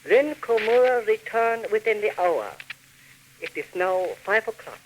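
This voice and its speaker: An actor playing a sinister, courteous Japanese government agent in a 1940s radio drama, with a put-on Japanese accent and clipped, formal broken English. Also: male voice, sits high, expressive, measured pace. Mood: smooth, scheming. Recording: noisy old 1940s radio recording.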